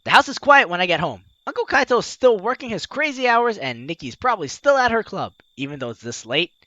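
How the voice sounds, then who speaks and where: A man's voice: high voice, big pitch swings, fairly quick, pushed and bright, no echo. A man hosting a let's play, reading game text aloud and adding jokey, theatrical, exclamatory commentary. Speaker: gaming video host.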